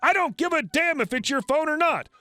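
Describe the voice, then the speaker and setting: Entitled angry woman, male narrator acting her out: shrill high pitch, bossy, abusive, indignant, for a story reading. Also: very animated, moderate pace, dry recording, exaggerated voice. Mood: furious, demanding. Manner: demanding, shouting, indignant.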